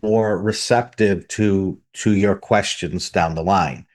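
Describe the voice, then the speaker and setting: A man's voice: deep voice, takes it slowly. A man hosting a podcast, methodically explaining his points in order. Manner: methodical, lays out numbered points. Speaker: podcast host.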